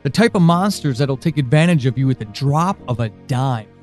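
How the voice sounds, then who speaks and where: A man playing a worried, frustrated friend in an audio drama monologue, speaking haltingly and intensely. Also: mid-range voice, expressive, darkish tone, faint music behind, close to an everyday voice. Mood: worried, subdued.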